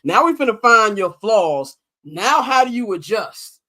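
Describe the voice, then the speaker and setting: A man with a notably high voice talks through a sports recap in loose, slangy chat. He gets animated and slips into quick impressions of what others told him. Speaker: podcast co-host.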